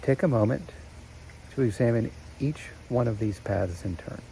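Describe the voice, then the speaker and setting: A man narrating a guided meditation in a slow, soothing way with long pauses. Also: mid-range voice, gravelly, dark tone, a little hiss or hum, poor audio.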